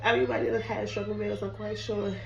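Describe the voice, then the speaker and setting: A woman's voice: medium pitch, level tone, fast, lo-fi sound, over music. A young woman who is sick and losing her voice chats casually to viewers while cooking at home. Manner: chatty, apologetic, addresses viewers as "guys". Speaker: cooking vlogger. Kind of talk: casual chat to camera. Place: home kitchen. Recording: roomy echo, mic at a distance.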